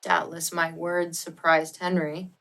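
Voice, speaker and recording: A woman narrating an audiobook of a classic novel in a dramatic, measured and expressive literary style. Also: lowish voice, vocal fry.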